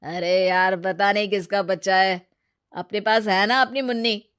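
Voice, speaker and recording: Woman playing a heartless lazy husband, irritable, blunt scolding delivery, cartoon moral story, mid-pitched. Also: steady tone, unhurried, a bit bright, clean tone, close to an everyday voice. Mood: dismissive.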